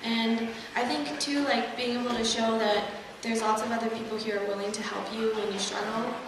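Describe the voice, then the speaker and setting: A young woman from Russia, Russian her first language, gives an earnest, slightly nervous student campaign speech. Her voice sits a little high and comes from well back in a very echoey hall, with a steady hiss of noise under it. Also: moderate pace. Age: young adult. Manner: nervous, laughs often, earnest. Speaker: student election candidate. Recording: echoey hall, distant mic, noisy.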